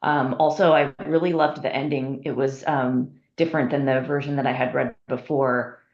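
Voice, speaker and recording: Gravelly woman in a roomy recording. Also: extremely low pitch, fairly even intonation, moderate pace, darkish tone, lo-fi sound. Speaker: feedback participant. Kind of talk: casual spoken feedback.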